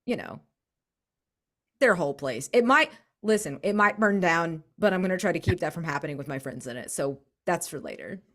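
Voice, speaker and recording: A woman's voice: on the low side, expressive, a little fast, fairly bright tone. A woman playing a tabletop roleplaying game, chatting casually with a wry, emphatic delivery. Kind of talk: casual, animated table chat.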